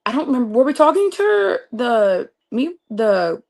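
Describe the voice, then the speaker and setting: A young adult woman telling a story in casual conversation, expressive and full of vivid detail. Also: on the high side, normal speed.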